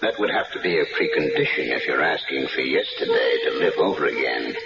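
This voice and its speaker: A man's voice: rumbling low, expressive, a little fast, gravelly, over loud music, exaggerated voice. An actor playing a mysterious male gambler who offers second chances, speaking in a sly, teasing way, on a dull, roomy old radio recording.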